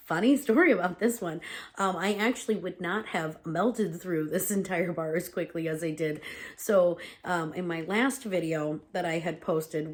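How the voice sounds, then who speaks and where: Low-voiced congested woman chatting casually. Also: a little hiss or hum. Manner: chatty, full of "um" and "like". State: congested from a cold. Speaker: vlogger reviewing home fragrances. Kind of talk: casual product review chat. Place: at home.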